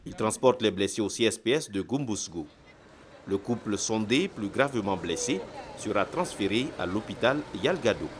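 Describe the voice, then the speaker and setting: Male news narrator, low lively voice, scripted report, slight noise. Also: normal speed.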